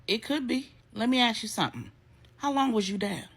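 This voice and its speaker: Brash, husky street-smart woman, as a storyteller plays her. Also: on the high side, a little fast, a bit bright, pitched above the performer's own voice. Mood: brisk, probing. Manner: brash, slangy, no-nonsense. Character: blunt, bold, street-smart woman sizing up men.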